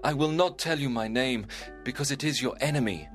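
Romeo, a man doing a hushed, tender young lover, faint music under. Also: mid-range voice, a little fast, close to an everyday voice.

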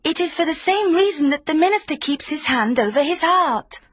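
Earnest little girl, high and dry-sounding. Female actor. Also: lively intonation, brisk, dry recording.

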